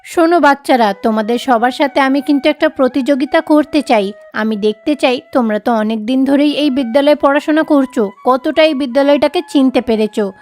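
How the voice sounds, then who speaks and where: A woman's voice: high voice, brisk, darkish tone, faint music behind, lightly acted. A woman voicing a female bird schoolteacher who instructs her young pupils in a cartoon. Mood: encouraging, instructive. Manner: instructive, addressing children.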